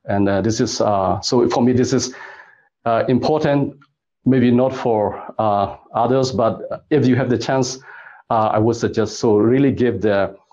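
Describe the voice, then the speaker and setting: A middle-aged man speaks in a somewhat low voice. He answers interview questions gently, pausing often to search for his words. The audio is dull and lo-fi, like a remote recording. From Burma. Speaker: guest expert.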